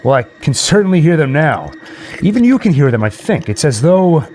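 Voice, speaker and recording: Man acting a jittery, rambling man, high-pitched and animated. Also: a little hiss or hum. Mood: jumpy, nervous. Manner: nervous, halting, talks straight to the listeners. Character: jittery, rambling man alone in a haunted house. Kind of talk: old-time horror radio play monologue.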